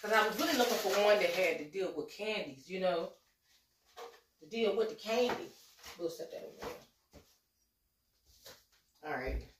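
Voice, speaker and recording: A woman's voice: mid-range voice, expressive, normal speed, pauses often, background noise. A woman in her forties with a slight cold, making a casual, chatty cooking vlog in her home kitchen and calling her viewers y'all. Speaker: cooking vlogger. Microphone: camera a few steps away. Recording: roomy kitchen echo, mic at a distance.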